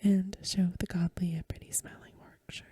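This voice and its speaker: A woman softly whispering a story for ASMR in a breathy voice, with gentle, careful phrasing, close to the microphone. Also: lowish voice, flat delivery, fairly quick, no echo. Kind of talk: soft-spoken ASMR story reading.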